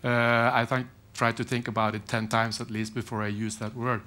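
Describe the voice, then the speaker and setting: A middle-aged man speaking on a security conference panel, measured and hesitant with frequent restarts, through a headset mic. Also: medium pitch, flat delivery, sharp tone. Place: conference hall panel stage.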